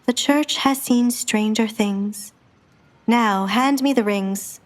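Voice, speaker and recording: A woman's voice: a little high, slow pace. A woman voicing a wary, well-meaning male priest in an audio drama, with a dry, reproachful, muttering manner. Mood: exasperated.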